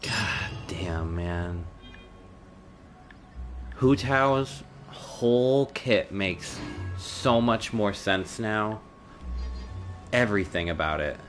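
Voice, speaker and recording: A male game streamer with a low voice and slow delivery. He comments in a casual, informal, laid-back way. Game music is clearly audible behind him. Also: fairly bright tone.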